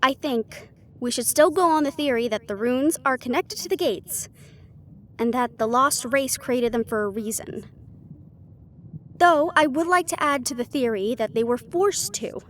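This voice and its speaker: A woman's voice: high voice, expressive, a little slow, slight background noise. A woman playing a worried, wry half-banshee in an intimate fantasy audio roleplay, confiding and musing as she talks. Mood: businesslike. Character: young female half-banshee siren, worried, wry, secretly vulnerable. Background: background music.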